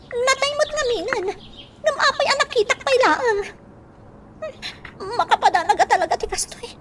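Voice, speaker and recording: A woman's voice: extremely high pitch, fairly bright tone, slight background noise. A woman acting as a young, exasperated daughter who is protective of her mother, in an Ilocano radio drama. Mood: brooding, frustrated.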